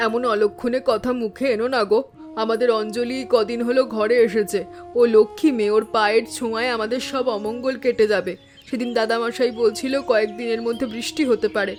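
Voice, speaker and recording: A woman's voice: a little high, fairly even intonation, brisk, lightly acted. Steadfast, kindly village mother for a moral cartoon played by a woman, level intonation, faint music. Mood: soothing. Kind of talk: animated moral story voice acting.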